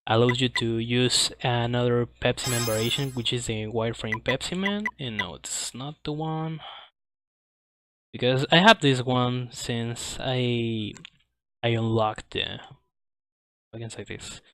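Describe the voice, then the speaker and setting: A man who speaks English as a second language, giving casual live speedrun commentary, halting as he searches for words. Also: medium pitch, fairly bright tone, slight background noise. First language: not English. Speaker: speedrunner presenting a game at a streamed marathon.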